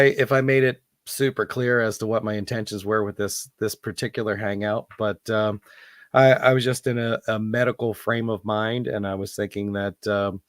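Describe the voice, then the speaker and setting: A man hosting a live online chat, hoarse from losing his voice and talking in a rambling, halting way. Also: fairly low pitch, a bit bright, no echo, studio quality. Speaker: host. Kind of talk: casual online hangout chat. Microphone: computer mic on a live video call.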